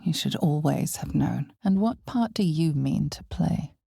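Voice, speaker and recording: An actress playing an ominous, knowing female narrator of a dark fantasy game, husky and breathy, speaking in a measured, dramatic way that often sinks toward a whisper. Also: deep voice, lively intonation, no echo, studio quality, heavily acted. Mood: grave, ominous.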